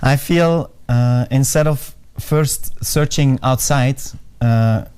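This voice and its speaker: A man whose first language is Dutch, speaking calmly and thoughtfully as an interview guest. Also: medium pitch, slow pace, clear, resonant tone. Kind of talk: casual interview.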